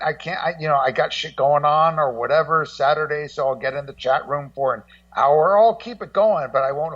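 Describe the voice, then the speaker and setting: A man co-hosting as a guest in casual, swearing chat, rambling excitably and repeating his words. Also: a little high. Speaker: guest co-host. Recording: dull lo-fi, distant-sounding connection.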